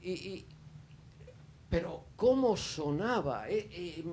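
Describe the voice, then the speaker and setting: Slow, hesitant man with a mellow voice. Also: high-pitched, animated, slightly rough. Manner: hesitant, many fillers and restarts. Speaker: audience member at a panel. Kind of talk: spontaneous spoken remarks at a panel discussion.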